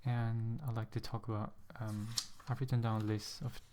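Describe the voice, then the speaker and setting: A young man from Singapore with a Singaporean-tinged English accent, talking to camera in a casual vlog, hesitant and a bit nervous, pronouncing words with deliberate care. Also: on the low side, level tone, background noise. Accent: Singaporean-influenced English, carefully pronounced. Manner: hesitant, many ums, a little nervous; careful, deliberate pronunciation. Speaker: ASMR channel host. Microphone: USB desk microphone.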